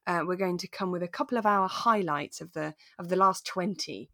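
A British woman co-hosts a relaxed podcast. Her voice sits a little low for a woman and is recorded dry, with very little room sound. She talks in an informal, conversational way. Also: fairly quick, no room sound. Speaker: podcast co-host. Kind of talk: relaxed casual chat about a radio drama.

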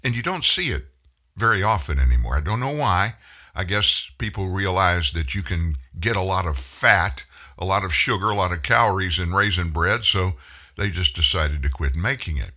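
A man's voice: deep voice, lively intonation, moderate pace, pushed and bright. A middle-aged man from Houston hosting a radio talk show from a studio, chatting in a folksy storytelling way. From Houston, Texas. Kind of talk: casual monologue. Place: broadcast studio. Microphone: studio microphone.

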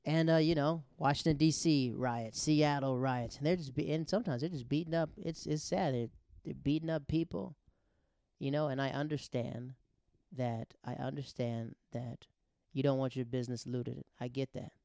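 Guy hosting, just talking casually, soft mellow tone, really dry close sound, quotes people as he goes. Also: mid-range voice, steady tone, normal speed, vocal fry, dry recording. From grew up abroad in the Netherlands and Japan. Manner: conversational, often acts out quoted remarks. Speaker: host. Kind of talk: casual personal monologue on social issues.

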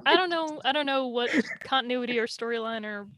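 A woman's voice: fairly high pitch, fast talker, a crowd in the background. A woman chatting casually about films and games as a podcast guest, with slangy, loosely profane talk.

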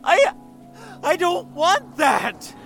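A man's voice: extremely high pitch, huge pitch swings, drawn-out words, creaky, loud music behind the voice, full character voice. A man playing a troubled, easily frightened young man in an audio drama. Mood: panicked, pained.

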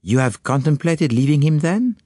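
A man's voice: fairly high pitch, animated, moderate pace, mellow, breathy edge, no echo, clean studio sound, voice pushed up. A man reading an audiobook, voicing a fussy, courteous foreign male detective who speaks precisely and drops French phrases. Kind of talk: audiobook character voice.

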